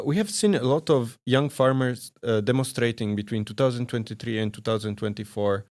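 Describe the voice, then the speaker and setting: A man hosting a podcast, asking long questions in measured, formal phrasing. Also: mid-range voice, darkish tone. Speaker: podcast host. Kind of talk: interview questions.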